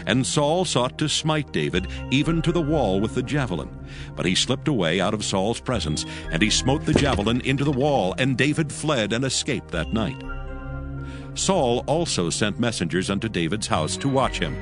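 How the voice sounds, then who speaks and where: A man narrating a dramatized scripture reading in a solemn, measured storytelling manner. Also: mid-range voice, sing-song, over music.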